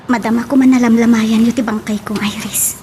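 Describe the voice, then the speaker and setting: A woman's voice: on the high side, flat delivery, brisk, darkish tone, soft background music, natural voice. A young woman playing a lovelorn, remorseful daughter in an emotional Ilocano radio drama.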